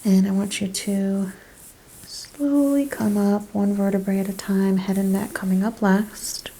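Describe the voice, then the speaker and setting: A soft-spoken woman doing an ASMR chiropractic roleplay, giving gentle clinical questions and step-by-step instructions, often dropping to a whisper. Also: mid-range voice, fairly even intonation, a little slow, low-quality recording.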